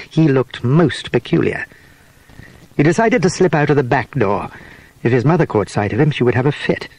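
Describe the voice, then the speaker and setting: A man's voice: mid-range voice, darkish tone, no room sound, rough recording. A man narrating a children's story as a scripted, dramatised audiobook.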